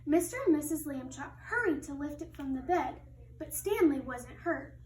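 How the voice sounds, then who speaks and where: High-voiced woman teacher reading, in an echoey room. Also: normal speed. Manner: expressive, teacherly. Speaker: second grade teacher. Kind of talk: read-aloud of a children's story with asides to pupils. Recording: roomy echo, distant mic, faint noise.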